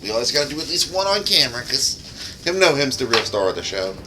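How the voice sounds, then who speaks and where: A man's voice: mid-range voice, big pitch swings, fast, noisy background. A man giving casual, rambling livestream gaming commentary, with loose, informal and sweary speech. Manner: profane, informal, rambling asides. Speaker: game streamer and modder. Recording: music under the voice. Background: music.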